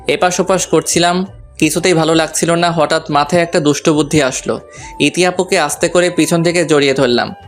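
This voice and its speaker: A man narrating a first-person romantic story in a playful, colloquial way. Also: a little high.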